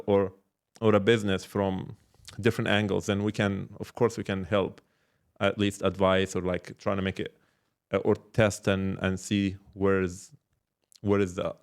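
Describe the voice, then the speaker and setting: Male podcast guest, startup mentor type, lowish voice, casual and reflective, restarts phrases as he explains. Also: a little mellow. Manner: halting, repeats words, explains with examples and check-questions. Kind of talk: casual reflective interview talk.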